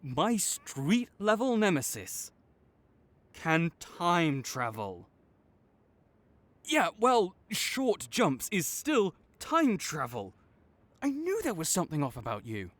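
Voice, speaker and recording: Male supervillain, played by an actor, audio drama, tsundere, exasperated, easily flustered, slow-paced, very expressive intonation. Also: sits high, no room sound, heavily acted. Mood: bickering, incredulous. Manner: tsundere, grudging, exasperated, stammering when sincere. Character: proud, flustered male supervillain with a secret soft spot. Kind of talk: dramatic audio roleplay addressed to the listener.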